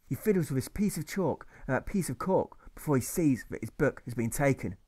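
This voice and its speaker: Male narrator, mid-pitched, reading verse with a steady rhythm. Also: breathy edge. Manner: rhythmic, measured. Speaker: author reading his own novel. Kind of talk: rhyming audiobook narration.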